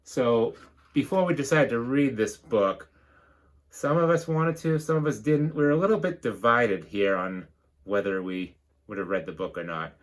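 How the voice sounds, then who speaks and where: A man's voice: medium pitch, moderate pace. A man reading a children's storybook aloud like a teacher, rhythmically, with explanatory asides.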